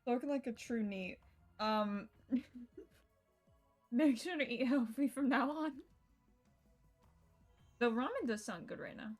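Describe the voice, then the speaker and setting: A woman's voice: fairly high pitch, slow pace, pauses often, faint music behind. A woman of twenty-one streaming a visual novel, chatting casually with her viewers and laughing often, with some swearing. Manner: giggly, playful, swears, chats with viewers.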